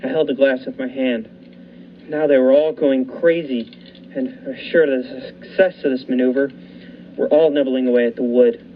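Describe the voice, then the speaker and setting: A man's voice: medium pitch, steady tone, normal speed. A young man narrating a radio thriller in the first person, telling it steadily and building the tension. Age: young adult. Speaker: narrator and lead actor. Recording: dull lo-fi recording, faint hiss.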